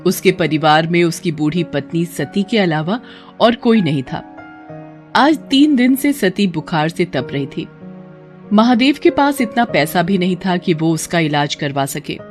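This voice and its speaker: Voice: medium pitch, animated, measured pace, faint music behind. A narrator reading a scripted cartoon folk story.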